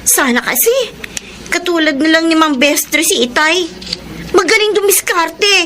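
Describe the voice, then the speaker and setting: A woman's voice: very high voice, measured pace. A woman playing a whiny, inquisitive schoolgirl daughter in a radio drama. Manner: plaintive, inquisitive.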